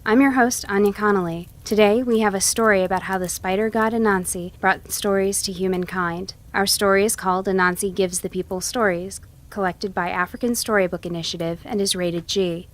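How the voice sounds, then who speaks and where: Woman story host reading a folktale, mid pitch, clear and measured. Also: fairly even intonation. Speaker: host and narrator. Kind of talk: scripted storytelling narration.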